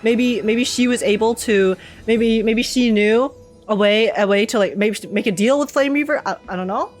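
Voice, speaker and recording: A woman streaming a casual game reaction, talking in an insistent, halting, repetitive way. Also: on the high side.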